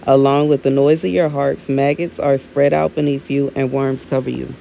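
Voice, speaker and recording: A woman's voice: rumbling low, fairly even intonation, normal speed, gravelly, dark tone, a little hiss or hum, rough recording. Woman in her thirties, rambling spiritual talk. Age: 30s. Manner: rambling, fills with "you know what I'm saying", calls viewers "baby". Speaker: minister sharing prophetic words. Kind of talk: casual spiritual talk to viewers.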